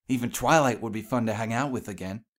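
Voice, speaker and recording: A man's voice: medium pitch, normal speed, natural voice. A man voicing a brash, guarded, tomboyish female pegasus in a storybook reading, clipped and slangy. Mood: forced swagger.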